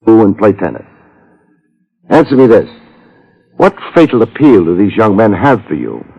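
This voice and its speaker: An actor playing a cool, wisecracking private detective in a 1950s radio drama, dry and sardonic. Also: male voice, lowish voice, big pitch swings, moderate pace, gravelly, husky, exaggerated voice. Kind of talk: 1950s radio detective acting, with first-person narration. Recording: muffled old-time radio recording.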